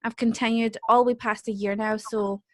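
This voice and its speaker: A woman's voice: fairly high pitch, little pitch movement, fairly quick, a crowd in the background. A woman, hosting a casual group chat with other young mothers and talking emphatically. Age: 20s. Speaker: host.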